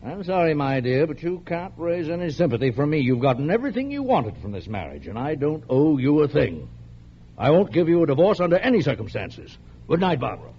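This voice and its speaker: Condescending rich husband, swooping delivery. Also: male voice, a little high, very animated, fairly quick. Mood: cold, firm. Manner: patronising, dismissive. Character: cold, condescending wealthy husband. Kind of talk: mid-century radio drama acting. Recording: dull, noisy old radio recording.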